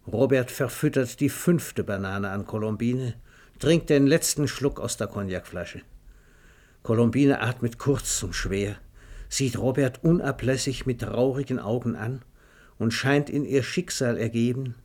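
A man's voice: mid-range voice, a little slow, darkish tone, natural voice. A man as a precise, wry literary narrator. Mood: measured, wry. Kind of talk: scripted literary radio drama narration.

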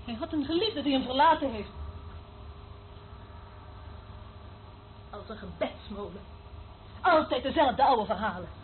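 An actress playing a weary, cynical surveillance worker in a radio play, speaking in a dry, dismissive way. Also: female voice, high-pitched, expressive, moderate pace. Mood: weary, scornful. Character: weary, cynical woman working as surveillance eavesdropper. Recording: noisy, tinny band-limited old radio recording.

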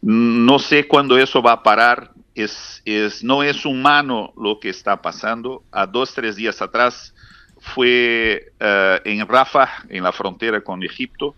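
A man's voice: mid-range voice, slow pace, pushed and bright, clean tone, dry recording, lo-fi sound. A man speaking Spanish as a second language in an interview, hesitant and full of restarts, yet speaking with urgent conviction. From Palestinian community. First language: not Spanish. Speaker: interview guest. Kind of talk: halting interview answers.

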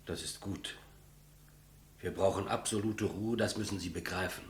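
An actor playing an elderly state executioner, polite, clumsy and matter-of-fact, in 1950s German radio play style. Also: male voice, low voice, steady tone, moderate pace, lightly acted. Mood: businesslike probing. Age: older. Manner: deferential, plain, businesslike.

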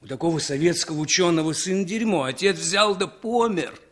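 Bitter, drunken, self-loathing émigré. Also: male voice, fairly high pitch, fairly bright tone. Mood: bitter, despairing. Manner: halting, brooding, repeats himself with bitter emphasis. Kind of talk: 1960s Soviet radio play acting.

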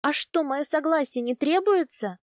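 A woman's voice: high voice, lively intonation, moderate pace, dry recording, clean studio sound, pitched above the performer's own voice. A woman voicing a defiant young woman, a feisty captive bride who speaks emphatically in a fantasy audiobook. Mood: indignant.

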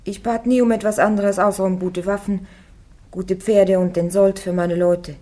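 An actress playing a devout young woman warrior on trial, answering her judges firmly and calmly in a radio drama. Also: medium pitch, moderate pace, close to an everyday voice. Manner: firm, calm, defiant answers.

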